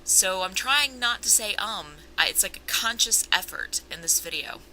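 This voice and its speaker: A woman's voice: mid-range voice, lively intonation, normal speed, bright, forceful tone, soft background music, rough recording. A young woman vlogging casually about herself with a sore, swollen throat, rambling and self-aware, drifting into tangents. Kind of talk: casual personal vlog.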